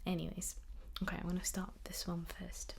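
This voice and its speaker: A young woman whispering in a soft-spoken ASMR chat, slightly croaky while getting over an illness, with frequent ums. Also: lowish voice, completely flat delivery, a little fast. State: recovering from illness, at times croaky. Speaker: ASMR creator.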